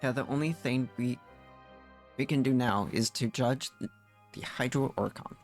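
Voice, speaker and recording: A man streaming a game, casually reading dialogue aloud in a halting way with repeated words. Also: medium pitch, faint music behind. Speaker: streamer playing and reading along.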